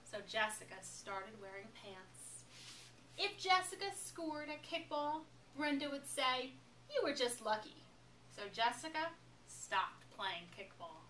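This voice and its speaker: Bright high-voiced woman reading a storybook. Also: sing-song, slow. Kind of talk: expressive children's picture book read-aloud. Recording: echoey, noisy room, distant microphone.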